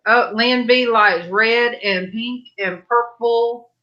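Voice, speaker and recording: A woman's voice: on the high side, takes it slowly, muffled recording. A middle-aged woman, hosting an online church panel in a folksy, chatty way over a video call. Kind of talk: casual chat on a livestream.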